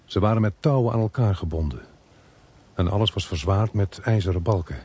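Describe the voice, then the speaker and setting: Sombre male storyteller for a Dutch radio crime play: very low, rough-edged, grave. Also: fairly quick, dark tone. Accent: Netherlands Dutch. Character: sombre male first-person narrator of a murder thriller.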